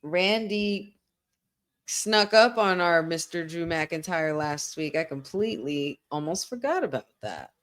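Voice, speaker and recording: Chatty excitable woman, lowish voice. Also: measured pace. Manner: excitable, chatty fan talk with frequent "like". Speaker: podcast co-host. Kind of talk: casual watch-along commentary.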